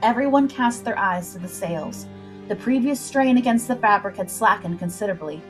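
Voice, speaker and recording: A woman's voice: mid-range voice, normal speed, soft background music, rough recording. A woman storyteller reading scripted adventure fiction aloud in a dramatic narrating style. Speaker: storyteller, livestream host.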